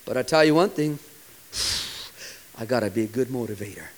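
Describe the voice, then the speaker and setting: A man in his forties, a pastor preaching a sermon with impassioned delivery that sometimes drops to a hushed, quiet tone. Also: mid-range voice, lively intonation, moderate pace, low-quality recording. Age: 40s.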